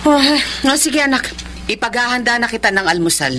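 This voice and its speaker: A woman's voice: on the high side, expressive, a little fast, bright, forceful tone, slight background noise. A woman with a Filipino accent playing a fretful, doting middle-aged mother in a radio drama. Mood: worried, fussing.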